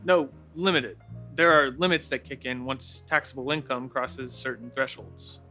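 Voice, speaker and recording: A man's voice: medium pitch, steady tone, normal speed, pushed and bright, soft background music, natural voice. A man playing an earnest, precise accountant sidekick who explains rules.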